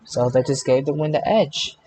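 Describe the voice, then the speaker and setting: Excitable man hosting a podcast. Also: on the high side, normal speed, a little hiss or hum, poor audio. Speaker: podcast host. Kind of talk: casual panel discussion of wrestling results.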